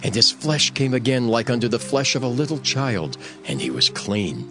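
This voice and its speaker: A man's voice: medium pitch, very animated, normal speed, over music. A man narrating scripture in a dramatized, scripted storytelling style.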